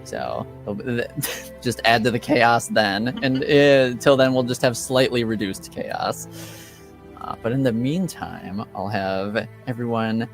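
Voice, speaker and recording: A man speaking casually and haltingly as game master, welcoming listeners and recapping a tabletop game. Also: medium pitch, gravelly, soft background music.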